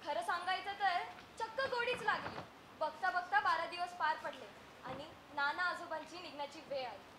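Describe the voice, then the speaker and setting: A woman's voice: very high-pitched, normal speed. A woman playing a playful narrator in a stage comedy, addressing the audience in a chatty, declamatory way. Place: theatre stage in an auditorium. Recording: echoey noisy auditorium.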